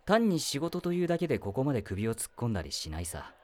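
A man playing a meddling male teacher in a voice drama, who speaks in a wry, gently probing, lecturing way. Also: medium pitch, very animated, fast, natural voice. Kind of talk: voice drama acting.

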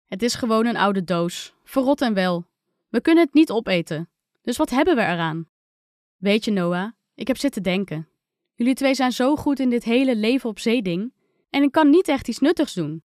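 A woman's voice: a little high, animated, moderate pace, darkish tone, no room sound, clean studio sound, lightly acted. A woman voicing an earnest, self-doubting young girl in a children's adventure story. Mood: downcast, doubtful. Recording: music under the voice.

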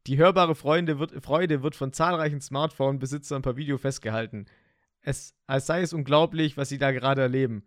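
A young man co-hosting a casual podcast chat, slangy and excitable, laughing often. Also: mid-range voice, a little fast, no echo, clean studio sound. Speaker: podcast co-host.

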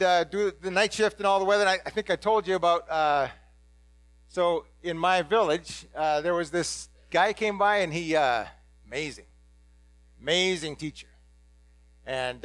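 A blunt, ordinary middle-aged fisherman apostle, played by a man speaks in a rather high voice to a congregation. He rambles and stumbles over restarts in a casual, excitable way. The sound is dry and close. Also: no room sound, lightly acted. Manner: excitable, blurting. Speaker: dramatic first-person preacher. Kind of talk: first-person biblical monologue to a congregation.

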